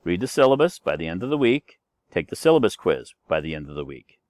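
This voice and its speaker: A man's voice: deep voice, very animated, quick pace, a little mellow, no echo, clean studio sound. A man in his sixties giving a recorded course introduction as the instructor, chatty and rambling with many fillers and restarts. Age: 60s.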